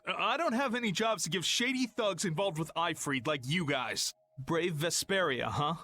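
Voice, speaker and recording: An actor playing a mocking, bullying guild thug in an anime video game dub. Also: male voice, a little high, animated, moderate pace, sharp tone, slight background noise. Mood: mocking, contemptuous. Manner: mocking, goading. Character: sneering, bullying male guild thug taunting a girl. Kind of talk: English-dubbed anime video game acting.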